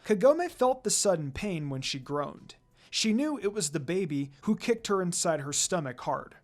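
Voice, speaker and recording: A man reads fiction aloud as a narrator. His voice is on the high side and very lively, swinging widely in pitch for dramatic effect. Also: moderate pace, faint music behind. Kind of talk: dramatic story reading.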